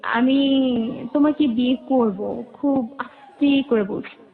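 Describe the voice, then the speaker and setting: High-voiced Bangladeshi woman on a phone-like recording. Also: slow, vocal fry. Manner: detailed narration, quoting remembered dialogue. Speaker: interview guest. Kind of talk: personal life storytelling. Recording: muffled, narrow phone-like band.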